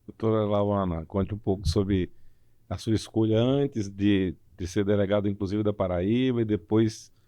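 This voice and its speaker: A middle-aged man, chatting as an interview co-host in halting, hesitant phrases. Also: on the low side, fairly even intonation, warm tone, no echo. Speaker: podcast co-host. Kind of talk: unscripted interview chat.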